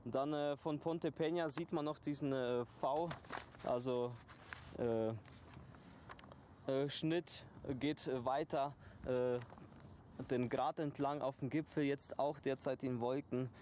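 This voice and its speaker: A man in mountain guide training giving a halting summit briefing outdoors, with many hesitations. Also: medium pitch, steady tone, dark tone, slight background noise.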